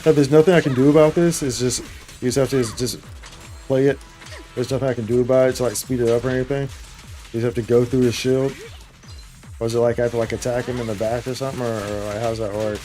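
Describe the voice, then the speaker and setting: Male game streamer, mellow and relaxed tone, slangy and repetitive, faint music behind. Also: medium pitch, fast talker. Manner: slangy, repeats his phrases. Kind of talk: casual live gameplay commentary.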